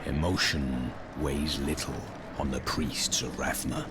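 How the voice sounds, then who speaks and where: A man playing a solemn, aloof necromancer priest in a video game, speaking in a measured, formal, grave way. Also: extremely low pitch, steady tone, background noise. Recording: loud music behind the voice.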